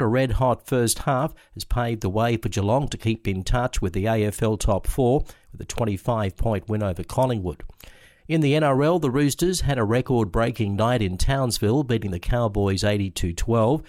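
A man with an Australian accent reading a radio news bulletin in a measured, steady way. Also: medium pitch, dry recording, studio quality. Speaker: news presenter.

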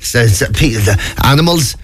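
A man's voice: medium pitch, expressive, moderate pace, fairly bright tone, faint music behind. An Irish man co-hosting morning radio, joking wryly with mock indignation. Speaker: radio co-host. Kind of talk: casual morning radio banter. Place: radio studio.

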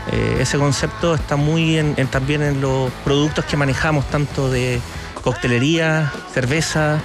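A man with a Chilean accent, chatting casually as an interview guest. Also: fairly high pitch. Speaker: interview guest, bar owner and sommelier. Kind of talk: casual conversation.

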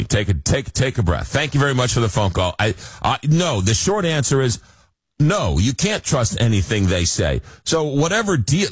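A man's voice: a little high, husky, no echo. A man hosting a talk radio show, cutting in on a caller and giving emphatic opinions. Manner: interjecting, emphatic.

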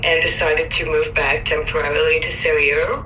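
A woman's voice: sits low, little pitch movement, brisk, pushed and bright, reverberant, distant mic, slight background noise, poor audio. A woman in her twenties from France, telling stories casually as an author guest, with frequent ums. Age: 20s. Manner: friendly storyteller with frequent ums. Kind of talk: casual interview chat.

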